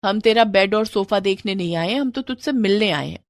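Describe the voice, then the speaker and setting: A woman's voice: medium pitch, fairly quick, no room sound, clean studio sound, lightly acted. A woman voicing a cheerful, affectionate young woman, polite and friendly, visiting a friend in a moral story. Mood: warm, reassuring.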